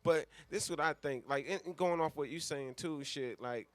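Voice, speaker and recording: A man's voice: a little high, moderate pace, no room sound. Dry-sounding man, profane casual talk. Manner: profane, slangy, rambling. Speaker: radio show co-host. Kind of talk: casual, speculative chat.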